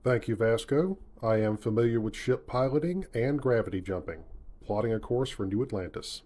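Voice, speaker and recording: A man playing a seasoned, polite starship captain in a game, speaking in a measured way. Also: mid-range voice, lively intonation, a little mellow, lightly acted. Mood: composed. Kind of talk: scripted game voice acting.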